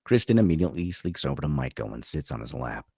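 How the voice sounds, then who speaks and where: A man's voice: a bass voice, big pitch swings, quick pace, creaky, mellow, slightly rough, dry recording, clean studio sound. A man reading out screenplay stage directions as the narrator of a table read.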